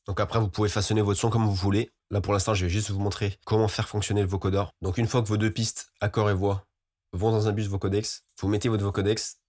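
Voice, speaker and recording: A man giving an informal, explanatory software tutorial as a voice-over. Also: fairly low pitch, flat delivery, quick pace, no echo, clean studio sound. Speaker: music production tutorial host.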